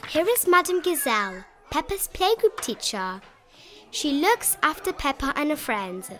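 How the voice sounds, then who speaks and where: A woman narrating a children's storybook in an animated read-aloud style, with music under her voice. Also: sits high, unhurried.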